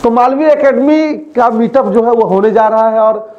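Young male teacher, high mellow voice, chatty, talks straight to students, roomy dull recording. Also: normal speed. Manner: chatty, addresses students directly. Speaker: coaching teacher. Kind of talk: casual announcement to students. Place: indoor studio in front of a screen.

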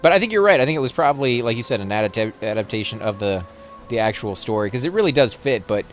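A man's voice: mid-range voice, expressive. Man, Texan accent, rambling gameplay commentary, easygoing chat, faint game music behind. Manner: chatty, rambling, quick to laugh. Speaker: let's play host.

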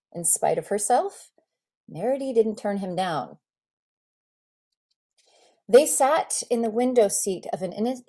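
A woman in her forties reads a memoir as an actor would, with expressive, deliberate phrasing and dramatic pauses, recorded indoors on a video call. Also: mid-range voice. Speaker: actor reading a memoir excerpt. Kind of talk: dramatic literary reading, narrative storytelling.

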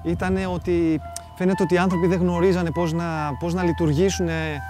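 A man giving a spontaneous interview in a halting way, searching for his words. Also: high-pitched, little pitch movement, music in the background. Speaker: documentary interviewee.

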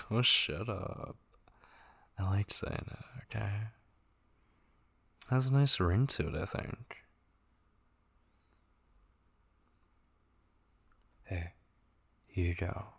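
Man acting husky, low-voiced tender boyfriend. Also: slow, pauses often, heavily acted. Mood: playful, affectionate. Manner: soft, hesitant, intimate, with murmured asides. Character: tender, devoted gamer boyfriend, young man, affectionate and reassuring. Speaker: ASMR voice actor. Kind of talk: boyfriend ASMR roleplay, soft intimate acting.

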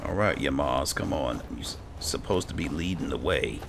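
Male let's player, heavily creaky, lively intonation, low-key muttered commentary over noisy game background. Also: moderate pace. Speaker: gamer playing a fantasy role-playing game. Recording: constant background game noise.

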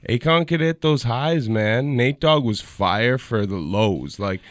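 A man in his thirties with a low-pitched voice, co-hosting a podcast in casual chat and humming beats between points. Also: expressive, slow pace, dry recording, clean studio sound. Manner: laid-back, hums beats and raps along. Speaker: podcast co-host. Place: small radio studio. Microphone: studio mic on arm, headphones.

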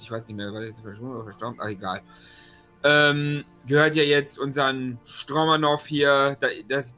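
A man's voice: medium pitch, sharp tone. A man who plays in a tabletop role-playing game, giving a casual recap in a hesitant, rambling manner. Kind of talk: casual spoken session recap.

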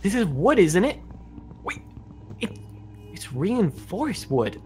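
Man playing a lively, high-pitched young son. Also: takes it slowly, exaggerated voice. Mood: curious. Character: eager, worried young son stranded on zombie island. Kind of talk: improvised video game roleplay. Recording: game music behind voice. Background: background music.

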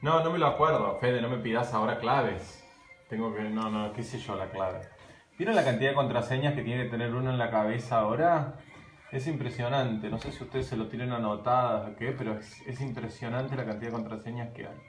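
A man's voice: mid-range voice, a little fast. Chatty Argentine man in an echoey kitchen. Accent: Argentine Spanish. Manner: chatty, animated, gesturing while talking. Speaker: live stream host. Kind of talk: casual live stream chat. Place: home kitchen at night, indoors. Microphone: phone camera at arm's length. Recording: roomy, echoey room.

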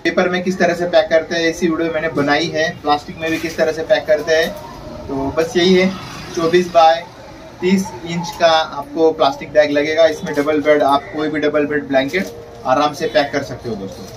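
A man's voice: a little high, fairly even intonation, normal speed. Chatty man demonstrating in a noisy shop. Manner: chatty, keeps addressing viewers as friends. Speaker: laundry shop owner teaching on his channel. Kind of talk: casual how-to demonstration. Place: small laundry shop, indoors. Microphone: camera mic at a distance. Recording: distant mic with street and traffic noise.

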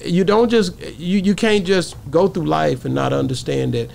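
A man in his forties with a high voice and plenty of gravel in it. He hosts a casual podcast, teasing and a bit preachy, circling back over his phrases on a close studio mic. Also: moderate pace, a little mellow, slight background noise. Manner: teasing, preachy, repeats himself. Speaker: podcast host. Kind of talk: casual interview chat. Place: small podcast studio indoors. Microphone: close studio microphone with headphones.

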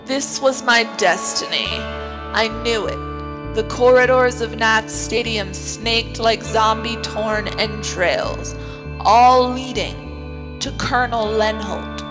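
Woman acting a slow-talking, dramatic heroine. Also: a little high, over music. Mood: brooding narration. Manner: deliberate, dramatic. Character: determined, dramatic zombie-fighting heroine. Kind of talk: comic horror audio drama acting.

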